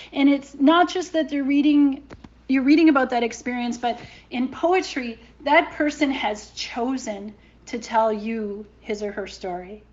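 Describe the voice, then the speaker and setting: A woman's voice: fairly high pitch, darkish tone, dull audio. A woman explaining thoughtfully and emphatically in a podcast commentary.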